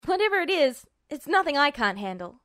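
A woman's voice: high voice, big pitch swings, fairly quick, a bit bright, no room sound, clean studio sound. A woman voicing a short-tempered, cocky teenage boy in a storybook reading. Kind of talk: fan fiction audiobook character voice.

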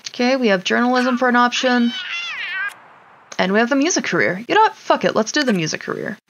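Animated woman doing casual game commentary. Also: a little high, fast talker, muffled recording, background noise. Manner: talks to the game characters, muttering to self; casually sweary. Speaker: gaming video host. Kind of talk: casual let's play commentary.

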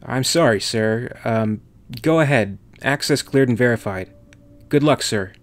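A man's voice: mid-range voice, measured pace, close to an everyday voice. A man voicing a very young, nervous military lieutenant in an audiobook, deferential and hesitant. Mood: taken aback. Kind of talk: audiobook character dialogue.

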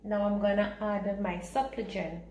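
A woman giving a casual, step-by-step home recipe demonstration in simple, unhurried instructional talk. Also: mid-range voice, flat delivery. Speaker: home cooking vlogger. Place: small home kitchen, indoors. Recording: roomy echo, clear background noise.

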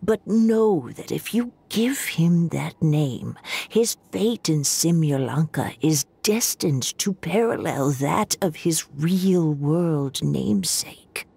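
A woman voicing a wise, grave seer of fates in a video game, speaking in a measured, solemn hush. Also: low-pitched, expressive, creaky, slightly rough, exaggerated voice. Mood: solemn, knowing.

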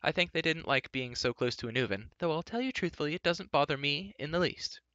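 A headstrong young princess from a storybook, as a man reads her. She chatters insistently and argues every point, pitched up high with a bright, tense edge. The recording sounds slightly dull. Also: expressive, brisk, no echo. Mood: breezy, defensive. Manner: breezy, insistent, argumentative chatter.

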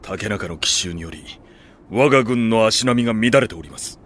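Anime drama role: gruff, deferential male retainer; tense, firm delivery with lively emphasis, loyal and stern. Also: fairly low pitch, moderate pace, a little hiss or hum. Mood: firm, dutiful. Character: stern, loyal samurai retainer, protective right-hand man. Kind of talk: Japanese anime audio drama acting.

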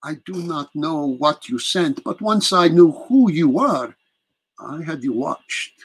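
A man voicing an aged aristocratic vampire prince who speaks slowly and commandingly. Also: fairly high pitch, lively intonation, slightly rough, exaggerated voice. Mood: cold. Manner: slow, deliberate, commanding.

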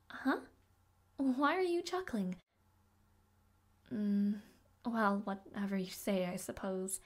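A woman playing a devoted young maid of noble birth in an audio roleplay, speaking politely and formally, with shy hesitations. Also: medium pitch. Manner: polite, soft-spoken, flustered hesitations, formal diction. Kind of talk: audio roleplay addressed to the listener.

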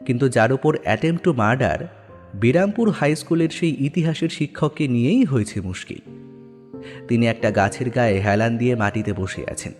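A man's voice: medium pitch, animated, moderate pace, a little mellow, soft background music. A man giving a dramatic scripted narration of a horror story.

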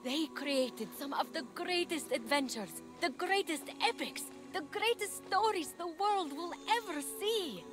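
A young game heroine, sincere and encouraging, high voice with music behind. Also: female voice, expressive, measured pace, hardly any pauses. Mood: earnest, rousing. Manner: earnest, sincere. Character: earnest, encouraging young heroine, a storyteller warrior. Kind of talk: video game cutscene acting.

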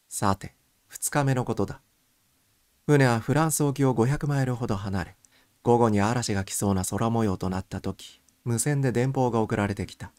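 Male narrator for a first-person mystery audiobook, lower-pitched voice, dry studio-like sound. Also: darkish tone, no echo.

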